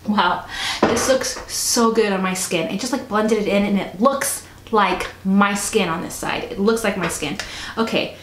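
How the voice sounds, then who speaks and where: Stuffy-nosed woman chatting through her makeup, soft voice, roomy echo, faint hiss. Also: medium pitch, a little slow. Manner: chatty, soft-spoken, often drops to a near whisper. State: stuffy, fighting a cold. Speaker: beauty vlogger. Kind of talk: casual makeup review. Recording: echoey room, faint noise.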